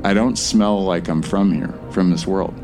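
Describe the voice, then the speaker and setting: Casting: actor for anxious male outsider, audio drama. Very deep voice, stammering, placating, music underneath. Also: moderate pace, creaky. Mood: nervous, placating. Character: nervous, harmless stranger man from another world. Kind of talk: post-apocalyptic audio drama acting.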